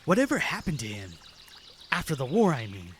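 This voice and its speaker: A man's voice: fairly high pitch, wildly swooping pitch, fairly quick, voice pushed up. A man voicing a curious young male hero who asks questions hesitantly, in a fan audio drama. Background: music.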